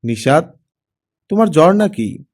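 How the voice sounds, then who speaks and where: A man's voice: on the high side, very animated, a little slow, darkish tone. A man voicing an easygoing, knowledgeable male character in an audiobook, talking informally. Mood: concerned.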